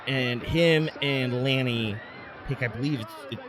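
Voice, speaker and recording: A man's voice: medium pitch, measured pace, crowd noise, noisy background. A man in his thirties hosting a podcast, moving between casual chat and scripted narration. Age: 30s. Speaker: podcast host.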